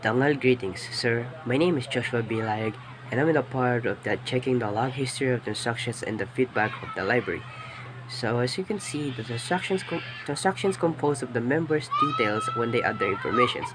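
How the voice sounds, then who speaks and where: A hoarse young man with a Filipino accent, giving a class project presentation in a loose, rambling way with restarts. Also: mid-range voice, huge pitch swings, fairly quick, noisy background. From Philippines. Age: young adult. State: hoarse from illness. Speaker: student presenter.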